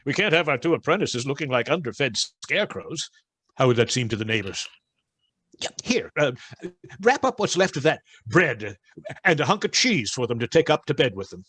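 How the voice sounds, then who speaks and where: A jovial master woodcarver in an old-time radio play. His middle-pitched voice is highly animated, full of little hesitations and old-fashioned turns. The sound is dry. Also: normal speed, slightly rough, no echo. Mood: cheerful, indulgent. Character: hearty, generous master woodcarver of colonial New England.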